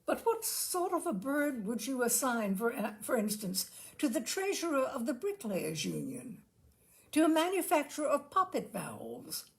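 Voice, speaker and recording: A woman giving an expressive, theatrical reading of a humorous essay, with measured phrasing and dramatic pauses. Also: sits high, vocal fry. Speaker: reader of literary humour.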